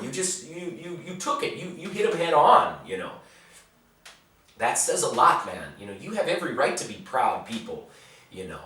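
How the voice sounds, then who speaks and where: A man's voice: mid-range voice, fairly bright tone, recorded from a distance, slight background noise. A man talking bluntly in a casual vlog, answering viewer questions. He rambles, repeats words and swears freely. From United States. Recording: very echoey room, voice off-mic.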